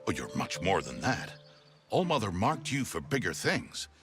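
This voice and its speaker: An actor playing a fatherly older mentor and storyteller in an animated game, dry and playfully dramatic. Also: lowish voice, normal speed, soft background music. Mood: teasing drama. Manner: dry, theatrical. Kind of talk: animated game dialogue and narration.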